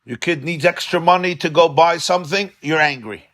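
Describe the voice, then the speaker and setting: A man's voice: a little high, normal speed, a bit bright. A man, a rabbi, giving an informal religious lecture in a study hall, impassioned and conversational, repeating words for emphasis and mixing in Yiddish and Hebrew terms. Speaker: rabbi teaching a class.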